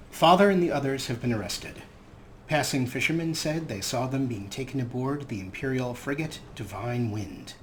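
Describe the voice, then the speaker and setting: Let's play host, male, mid-pitched, wry and deliberating, clear game noise behind him. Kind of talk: gaming commentary in third person about his character.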